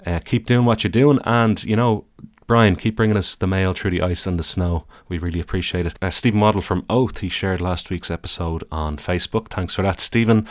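Irish guy hosting a podcast, real low soothing voice, rambly and wry. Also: no echo. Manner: easygoing, rambling, dry self-deprecating humour. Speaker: podcast host. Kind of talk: casual solo podcast monologue.

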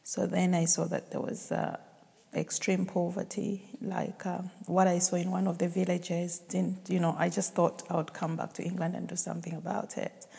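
A woman from Zambia telling her story in a casual interview, pausing often with ums and uhs. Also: on the low side, level tone, vocal fry, dark tone, breathy edge. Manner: hesitant, many ums and uhs, quotes herself. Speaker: charity founder, guest. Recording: long hall reverb.

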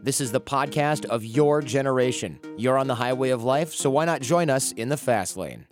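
A man's voice: mid-range voice, moderate pace. Man reading a wry podcast promo over music. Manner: dry, self-mocking joking. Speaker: guest promo voice.

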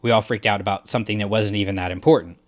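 A man's voice: on the low side, fairly even intonation, racing. A man co-hosting a podcast, talking through a fan analysis in an emphatic way and acting out imagined reactions. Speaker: podcast co-host.

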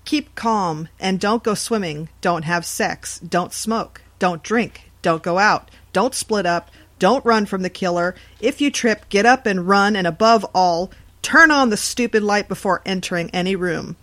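A woman's voice: mid-range voice, unhurried, fairly bright tone. A woman reading a scripted report as a podcast host. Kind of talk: scripted news report narration.

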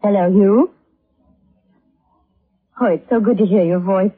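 An actress playing an anxious, bewildered, polite wife in a 1950s radio drama, speaking hesitantly and with emotion. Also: female voice, mid-range voice, unhurried, breathy edge. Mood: anxious, relieved. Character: anxious middle-class American wife, polite, bewildered, wounded. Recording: muffled vintage radio recording.

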